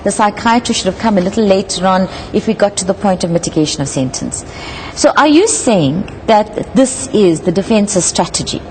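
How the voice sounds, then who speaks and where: A woman's voice: medium pitch, animated, normal speed, a little mellow, a little hiss or hum, poor audio. A woman hosting a live interview, putting questions to a guest. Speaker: interviewer, host.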